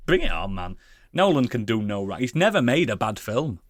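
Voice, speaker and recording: A man's voice: mid-range voice, big pitch swings, brisk, dry recording, studio quality. A man hosting a casual podcast, bantering in a crude, teasing, joking way. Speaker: podcast host.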